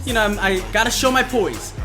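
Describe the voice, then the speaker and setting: A man's voice: sits high, animated, brisk, music in the background. A man giving self-hyping play-by-play commentary on his own basketball video game, narrating each play in the present tense. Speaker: video gamer and channel host.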